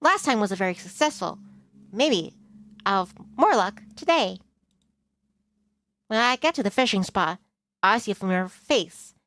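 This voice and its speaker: A woman's voice: mid-range voice, animated, moderate pace, pauses often, fairly bright tone, no room sound. A woman streamer reading a visual novel aloud, mixing narration with casual asides. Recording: music behind the voice.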